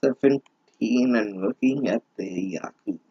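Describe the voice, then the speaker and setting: A man's voice: on the low side, unhurried, mellow, breathy edge, highs cut off. A man giving a mocking reading of fan fiction, breaking in with exasperated, sarcastic, sweary asides. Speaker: host of a bad-fanfiction reading series.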